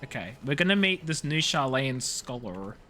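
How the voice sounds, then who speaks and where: A man's voice: medium pitch, very animated, moderate pace, noisy background. A man live streaming a video game, talking casually and jokily in slang with playful mock outrage. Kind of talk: casual chatty game commentary.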